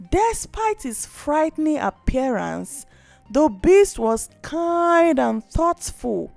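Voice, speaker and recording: A woman narrating a scripted folktale as a storyteller, with an expressive, dramatic delivery. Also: sits high, vocal fry, dry recording. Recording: music behind.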